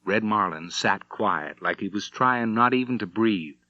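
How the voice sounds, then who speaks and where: A man reading a western novel as an audiobook narrator, in measured, dramatic storytelling. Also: on the low side, lively intonation, bright, forceful tone, no echo, muffled recording. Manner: measured storytelling with acted dialogue.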